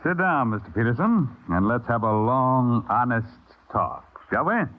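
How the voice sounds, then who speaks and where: An actor playing a pompous, calculating leader of a subversive group in old radio drama style. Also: male voice, mid-range voice, expressive, a little slow, close to an everyday voice. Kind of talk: 1950s radio drama acting. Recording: noisy old narrow-band radio recording.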